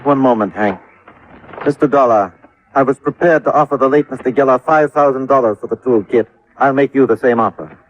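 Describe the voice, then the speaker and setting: An actor playing a coolly polite, imperious crime boss with formal, deliberate phrasing in a vintage radio drama. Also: male voice, mid-range voice, natural voice. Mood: smoothly threatening. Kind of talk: 1950s radio drama villain. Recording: dull old radio recording.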